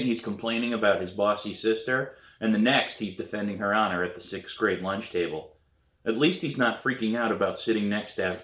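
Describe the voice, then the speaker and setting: A man reading a children's novel aloud in an expressive storybook narration style. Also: fairly low pitch. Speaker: author reading own novel. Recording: roomy echo.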